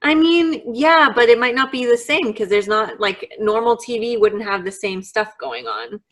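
A woman's voice: on the high side, normal speed. A young woman on a discussion panel, assertively explaining her points at length in a casual chat.

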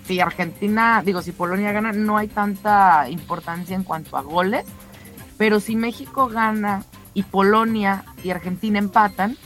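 A woman with a Mexican accent presents sports news as a radio co-host. Her delivery is conversational and chatty, speaking straight to her colleagues. Music plays softly under her voice. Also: mid-range voice, moderate pace. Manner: chatty, addresses co-hosts directly.

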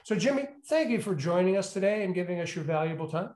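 A man hosting an interview in a courteous, conversational way, with deliberate phrasing. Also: sits high, animated, darkish tone. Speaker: interview host, business school executive in residence. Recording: roomy, echoing sound.

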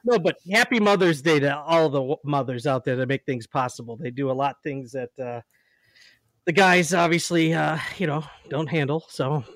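A man's voice: a little high, moderate pace, a bit bright, no room sound. A man co-hosting a podcast, earnest and reflective, laughing easily in casual chat over a remote call. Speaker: podcast co-host.

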